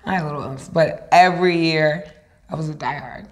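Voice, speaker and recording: A woman's voice: low voice, a little fast. A young woman, a dance instructor, telling her story in a casual interview, upbeat and chatty with frequent fillers. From United States, raised by Ghanaian parents.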